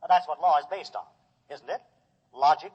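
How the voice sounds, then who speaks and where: A man's voice: on the high side, moderate pace, slightly rough. An American man playing a loyal, logical robot assistant in a 1950s radio drama, earnest and deferential. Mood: earnest, confident. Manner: earnest, matter-of-fact, deferential. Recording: dull old radio recording, long reverb.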